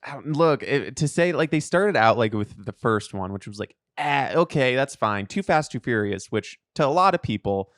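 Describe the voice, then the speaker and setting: A man's voice: mid-range voice, expressive, moderate pace, few pauses, a bit bright, no room sound, clean studio sound. A man co-hosting a casual pop culture podcast, with a jokey way of talking. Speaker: podcast co-host. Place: podcast studio.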